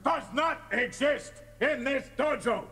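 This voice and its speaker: An actor playing a fierce, menacing ex-army karate instructor, barking commands like a drill sergeant in film dialogue. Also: male voice, extremely high pitch, slow, exaggerated voice. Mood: fierce, commanding. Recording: reverberant hall under loud music.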